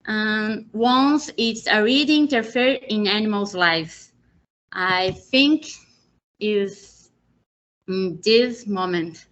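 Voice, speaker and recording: A young woman from Brazil with a Brazilian Portuguese accent, speaking halting non-native English as she presents a webinar from a small room. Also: medium pitch, a bit bright. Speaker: presenter, PhD student. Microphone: video call, laptop mic. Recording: muffled video call audio.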